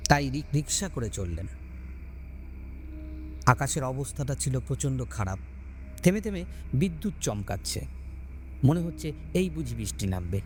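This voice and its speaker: A man narrating a scripted horror story as host, dramatic, with deliberate pauses between phrases. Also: mid-range voice, darkish tone. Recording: background music under the voice. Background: scary music.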